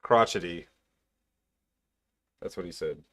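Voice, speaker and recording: A man's voice: low-pitched, takes it slowly, sharp tone. A man in casual chat among voice actors, teasing and joking. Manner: teasing, jokey. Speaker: fan voice actor.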